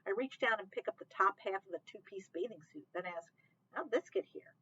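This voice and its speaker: A woman giving an expressive read-aloud of a children's novel, narrating the chapter. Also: medium pitch, brisk, husky, highs cut off. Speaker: library read-aloud reader.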